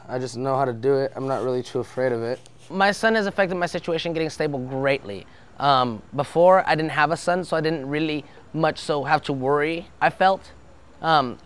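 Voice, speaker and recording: Young man from Brazil, documentary interviewee, sincere and halting, slightly high, resonant tone. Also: a bit bright, clear, resonant tone. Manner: earnest, halting with restarts.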